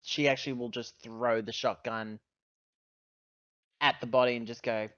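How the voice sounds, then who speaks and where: A woman's voice: extremely low pitch, fairly even intonation, normal speed, a bit bright, dry recording, dull audio. A woman playing a tabletop game, casually narrating what her character does and chatting with the table.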